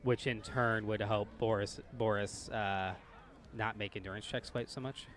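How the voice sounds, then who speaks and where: A man working as game master, improvising narration and rules for a tabletop game while thinking aloud in a halting way. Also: fairly low pitch, steady tone, fairly bright tone.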